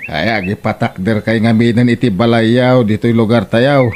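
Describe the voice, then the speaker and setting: A woman's voice: very deep voice, steady tone, moderate pace, darkish tone, slight background noise. A woman playing an aggrieved grown daughter in a comedic radio drama, complaining in a plaintive way. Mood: aggrieved, pleading. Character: aggrieved grown daughter venting about spouse and in-laws.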